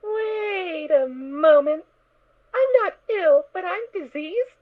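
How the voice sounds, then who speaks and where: A woman's voice: extremely high pitch, expressive, takes it slowly, heavily acted. A woman voicing a naive, cheerful little filly pony in an audio drama, bubbly, childish and stubborn. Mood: puzzled, huffy. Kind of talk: fan audio drama acting. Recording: tinny, narrow phone-like audio.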